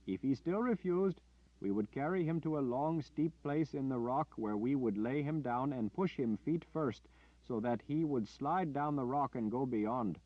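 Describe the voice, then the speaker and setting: An earnest older villager, voiced by a male narrator, lifted in pitch and steady as he explains. The audio is dry and somewhat dull. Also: dry recording, natural voice. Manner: measured, explaining.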